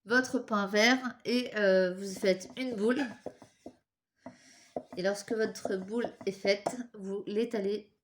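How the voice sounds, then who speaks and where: A woman's voice: mid-range voice, fairly even intonation, slow. Young woman with a head cold, congested nose, talking viewers through a craft tutorial in a chatty, apologetic way. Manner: chatty, apologetic, talks while working with her hands. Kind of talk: casual step-by-step craft tutorial.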